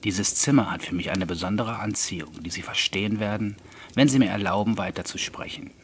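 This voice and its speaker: A grave, mysterious gentleman of a bygone century, acted by a male audiobook reader, speaks in a lowish voice. He is formal and courteous, with measured phrasing, and there is some noise on the recording. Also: close to an everyday voice. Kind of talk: audiobook character dialogue.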